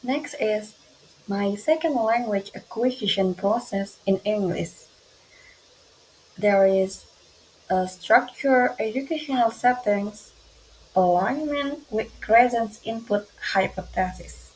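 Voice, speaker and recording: A young woman with an Indonesian accent, reading her class presentation aloud slowly and carefully, with small grammar slips. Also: medium pitch, darkish tone, a little hiss or hum, poor audio. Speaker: student presenter.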